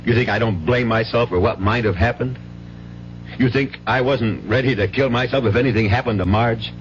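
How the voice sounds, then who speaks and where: A man's voice: mid-range voice, moderate pace, a little hiss or hum, lightly acted. A man playing a guilt-ridden, defensive gambling father in a 1970s radio drama, stammering and hesitant. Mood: bitter. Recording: muffled vintage radio broadcast.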